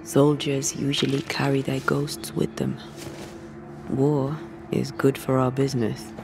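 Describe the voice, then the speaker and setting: Laconic, gravelly male ghost hunter. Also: mid-range voice, flat delivery, unhurried, music in the background. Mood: guarded. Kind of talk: dramatic video game acting.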